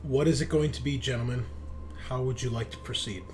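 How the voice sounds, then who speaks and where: Mann als Spielleiter, leichtes Hintergrundrauschen. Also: male voice, mid-range voice, steady tone, a little fast, darkish tone, slight background noise. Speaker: host and game master. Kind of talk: casual announcing and tabletop game narration.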